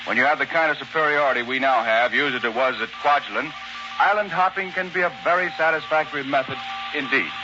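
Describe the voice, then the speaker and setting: An American man giving formal, measured 1940s radio war commentary as a correspondent. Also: medium pitch, music in the background. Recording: dull 1940s radio broadcast recording.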